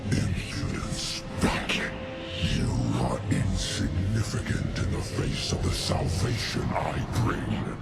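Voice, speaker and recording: Game villain: ancient evil god, extremely deep, monstrous, crawling pace, contemptuous, music behind. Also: male voice, big pitch swings, gravelly, full character voice. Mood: contemptuous threat. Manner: slow, grandiose, threatening. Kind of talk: cinematic video game villain acting.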